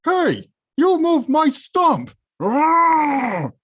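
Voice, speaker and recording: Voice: high-pitched, huge pitch swings, drawn-out words, fairly bright tone, full character voice. An actor playing a huge, hot-tempered, weary arcade-game villain who confides wryly and grumbles conversationally in a table read. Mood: angry bellowing. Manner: conversational, grumbling, bursts into bellows. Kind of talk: table-read acting, confessional voice-over.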